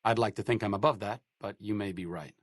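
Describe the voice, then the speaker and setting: A man's voice: fairly low pitch, fast talker, no room sound, close to an everyday voice. An actor playing a dry-witted, idealistic but weary male doctor in a video game, speaking in a wry, sardonic way.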